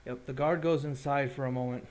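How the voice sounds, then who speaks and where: A man acting as game master, improvising scene descriptions for a tabletop roleplaying game in a halting way. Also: mid-range voice, fairly even intonation, a little mellow.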